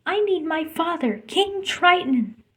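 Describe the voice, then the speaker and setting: A young mermaid princess, acted out by a woman reading a storybook, speaks in a high voice. She is gentle and caring, like a fairy-tale heroine who looks after her friends. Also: animated, slow pace, heavily acted. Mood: calling out. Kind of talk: storybook read-aloud character voice.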